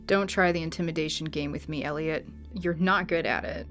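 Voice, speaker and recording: Woman as a cocky, lowish-voiced bounty hunter. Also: steady tone, a little fast, a bit bright, soft background music. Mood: mocking, confident. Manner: dry, teasing, confident. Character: cocky, unbothered female bounty hunter, ambitious and mocking. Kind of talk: audio drama acting.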